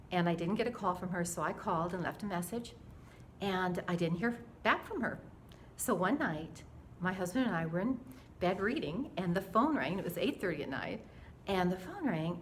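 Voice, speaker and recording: A mature woman telling a personal faith story in an earnest, dramatic, animated way, acting out the dialogue as she goes. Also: on the low side, slight background noise.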